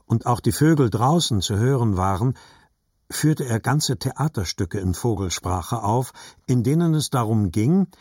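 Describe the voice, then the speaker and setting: A man's voice: medium pitch, darkish tone, slightly rough, dry recording, clean studio sound. A man narrating literary fiction for an audiobook in a scripted reading style.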